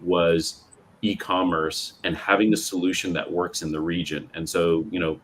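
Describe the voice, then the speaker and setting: A man from Bermuda speaking on a tech panel in a measured way, with fillers and restarts. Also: deep voice, level tone, fairly bright tone, slight background noise. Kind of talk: panel discussion.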